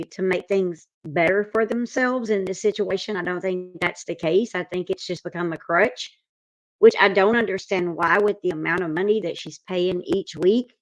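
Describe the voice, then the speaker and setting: A woman's voice: fairly low pitch, low-quality recording. A woman giving her opinion casually as a panelist in an informal discussion.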